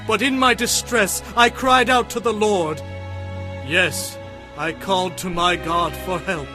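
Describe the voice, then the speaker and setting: High-voiced, fervent warrior king in prayer. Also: fairly even intonation, measured pace, bright, forceful tone. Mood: anguished pleading. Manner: solemn, fervent, declamatory. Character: devout warrior king singing praise to God. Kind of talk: dramatized scripture recitation. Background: music.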